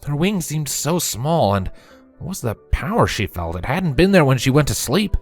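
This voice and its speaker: A man giving an expressive scripted narration of a fan fiction story. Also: medium pitch, moderate pace, soft background music.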